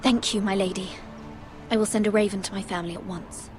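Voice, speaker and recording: A woman's voice: medium pitch, fairly even intonation, fast, over music, lightly acted. A woman playing an earnest young noble handmaiden in a video game, speaking politely and carefully, with anxious deference. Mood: earnest, respectful. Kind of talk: cinematic video game voice acting.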